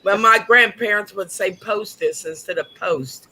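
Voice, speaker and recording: A man's voice: high-pitched, unhurried, sharp tone. A man co-hosting a casual chat, earnest and folksy. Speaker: co-host.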